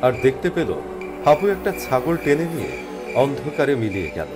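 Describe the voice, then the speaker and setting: A man narrates a scripted horror story with drama. His mid-pitched voice rises and falls in a lively way. Music plays clearly behind him. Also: a little fast.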